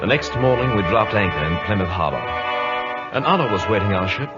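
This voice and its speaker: A man plays a naval officer telling the tale in a very low, grave voice. It is measured radio narration over clear music, on a dull old recording. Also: lively intonation, lightly acted. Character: grave naval officer recounting his captain's story. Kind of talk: dramatic scripted radio narration.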